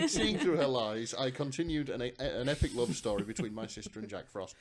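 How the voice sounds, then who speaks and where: A man's voice: medium pitch, very animated, moderate pace, crowd noise. A man co-hosting a podcast, talking casually with friends in a wry, dry-humoured way. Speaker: podcast co-host. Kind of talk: casual chat among friends.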